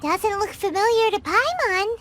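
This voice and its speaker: A woman voicing a small, excitable, childlike fairy companion in an anime-style video game, squeaky, bossy and exclaiming. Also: unhurried, a little hiss or hum, full character voice. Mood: curious. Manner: speaks of self in third person, exclaiming, bossy.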